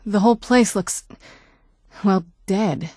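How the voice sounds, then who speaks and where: An actress playing a guarded, wistful young-sounding vampire woman in a video game, speaking in a measured, conversational way with wry understatement. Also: medium pitch, dark tone, no echo, studio quality. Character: female vampire daughter, centuries old yet youthful, wry, guarded, wistful. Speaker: video game companion character. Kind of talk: video game dialogue acting.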